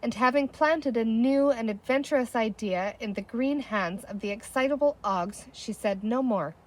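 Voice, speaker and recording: A woman narrating a children's story aloud as an expressive audiobook reader. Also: fairly high pitch, moderate pace, low-quality recording.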